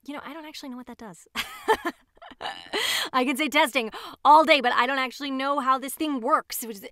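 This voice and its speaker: Rambling, self-important neuroscientist, highish voice. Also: female voice, expressive, bright, forceful tone, dry recording, studio quality. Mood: flustered, chatty. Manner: halting, digressive, self-justifying. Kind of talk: audio drama monologue as if recording a homemade show.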